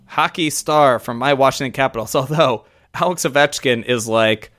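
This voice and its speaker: A man's voice: mid-range voice, normal speed, a bit bright. A man co-hosting a casual podcast who rambles through lists, laughs often and swears now and then. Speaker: podcast co-host.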